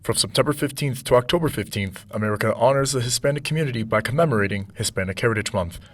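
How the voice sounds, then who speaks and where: Brisk young man reading a report. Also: mid-range voice, a bit bright. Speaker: student reporter. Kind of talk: scripted news report.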